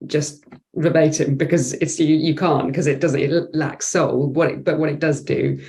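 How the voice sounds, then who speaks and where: A woman's voice: low voice, steady tone, moderate pace, dark tone. A woman speaking as a guest in a casual discussion, earnestly explaining her view and rephrasing as she goes. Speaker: panel guest.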